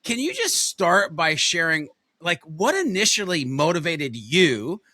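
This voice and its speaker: A man's voice: on the high side, very animated, measured pace, sharp tone, dry recording. A man hosting an interview show, upbeat and enthusiastic as he asks his guest questions. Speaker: podcast host. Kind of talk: casual interview chat.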